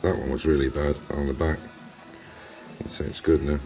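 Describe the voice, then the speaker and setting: A man's voice: extremely low pitch, little pitch movement, fairly quick, warm tone, reverberant, faint music behind. An English man hosting a casual car restoration vlog, rambling through his workshop with restarts and fillers. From England. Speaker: classic car restorer and channel host. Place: garage workshop.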